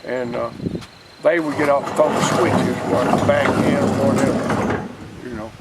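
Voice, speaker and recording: A man's voice: mid-range voice, fairly even intonation, moderate pace. An older man with a Southern US accent casually reminiscing in a folksy, plainspoken way. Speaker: interviewee, retired coal miner. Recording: noisy outdoor recording with wind.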